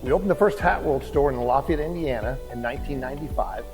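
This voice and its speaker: Male business founder, mid-pitched, plain matter-of-fact telling, music playing underneath. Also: fast talker. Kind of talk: interview clip. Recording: clip with loud music under it.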